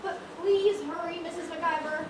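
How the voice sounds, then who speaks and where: A woman's voice: very high-pitched, fairly even intonation, normal speed. A woman acting in an amateur stage play as a timid, dutiful young shop assistant, deferential and anxious. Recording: distant echoey stage recording, noisy.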